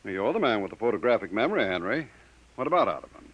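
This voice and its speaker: A man's voice: fairly low pitch, sing-song, fast, muffled recording. An actor playing a brusque, dismissive male bureau chief who gives orders, in a 1950s radio drama. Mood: impatient.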